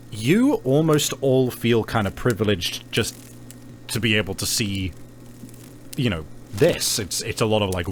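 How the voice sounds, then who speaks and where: A man narrating a tabletop roleplaying game as game master, in a halting, conversational storytelling manner. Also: medium pitch, a little hiss or hum.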